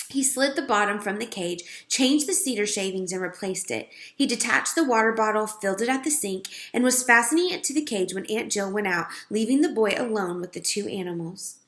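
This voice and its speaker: Lady reading a kids book out loud, expressive storyteller, echoey room. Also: mid-range voice. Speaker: read-aloud narrator. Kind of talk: children's storybook read-aloud. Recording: roomy, echoing sound.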